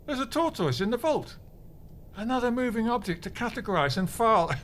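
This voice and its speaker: A man's voice: very high-pitched, very animated, brisk, fairly bright tone, a little hiss or hum, exaggerated voice. A man acting a grumpy, pompous, melodramatic office clerk in a comedy audio drama, blustering with theatrical indignation. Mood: exasperated. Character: pompous, grumpy, melodramatic male bureau clerk, martial-arts dabbler.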